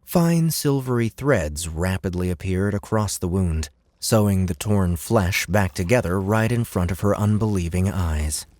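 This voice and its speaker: A man's voice: low-pitched, unhurried, darkish tone, no echo. A man reading dramatic scripted narration as an audiobook narrator.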